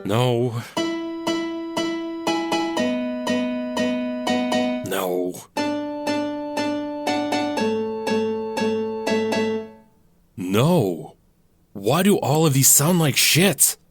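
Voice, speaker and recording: A man's voice: mid-range voice, animated, slow pace, over music. An actor playing a young man who doubts his own songwriting, who speaks in a self-deprecating, frustrated way and mutters to himself in an audio drama.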